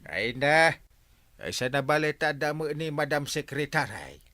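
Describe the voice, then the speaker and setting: An actor with an Ilocano accent playing an easygoing village captain who laughs often in a radio drama. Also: male voice, on the high side, lively intonation, a little slow, sharp tone, no echo. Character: jovial middle-aged male village captain. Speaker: barangay captain.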